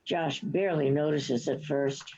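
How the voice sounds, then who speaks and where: A woman's voice: sits low, fairly even intonation, a little slow, warm tone. A woman reading scripted stage directions as the narrator of a play reading. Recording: dull, lo-fi online recording.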